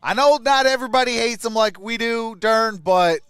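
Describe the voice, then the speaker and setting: A man's voice: very high-pitched, moderate pace, a bit bright, clear, resonant tone, no room sound, clean studio sound. A man giving informal live fan play-by-play on a sports stream, reacting aloud and chatting with viewers. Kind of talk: live fan commentary and chat.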